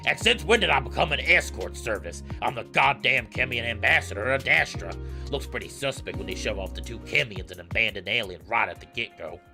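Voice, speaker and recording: A man voicing a big male wolverine ambassador in a visual novel game, with a deep, gruff voice and a blunt, irritable, swearing manner. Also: lively intonation, fairly quick, sharp tone, faint music behind. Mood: indignant.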